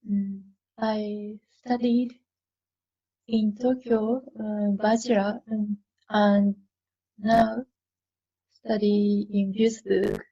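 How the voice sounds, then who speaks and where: A woman from Japan introducing herself haltingly as a composition student. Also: medium pitch, flat delivery, breathy edge, dull audio. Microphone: video call.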